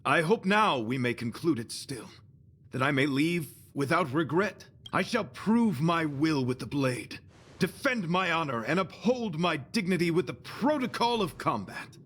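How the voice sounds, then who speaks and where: A man's voice: fairly high pitch, very animated, a little slow, fairly bright tone, breathy edge, heavily acted. An actor playing a chivalrous young male knight devoted to beauty, speaking in a formal, flowery and solemn way in a video game. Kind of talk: video game character dialogue.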